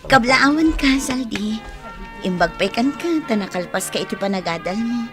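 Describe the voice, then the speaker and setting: A woman's voice: fairly high pitch, animated, normal speed, lightly acted. An actress playing an apologetic young single mother in a radio drama, sincere, with an Ilocano Filipino accent. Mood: regretful, sincere. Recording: music behind dialogue.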